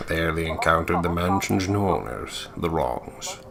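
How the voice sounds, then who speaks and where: Deep voice guy reading out game text, jokey n theatrical, voice moves around a lot. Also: lively intonation, unhurried, creaky. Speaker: let's play co-host reading game text. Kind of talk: playful narration of game text with joking asides.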